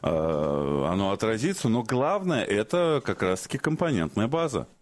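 A man's voice: lowish voice, very animated, moderate pace. A man co-hosting a talk show, chatting casually with a wry edge. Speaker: co-host.

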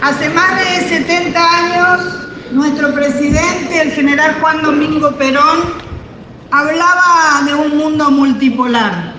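A woman with an Argentine accent giving a measured political speech at a conference, repeating phrases for emphasis. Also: high-pitched. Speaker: parliamentarian speaking at a conference. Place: large conference hall. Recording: roomy echoing hall, faint noise.

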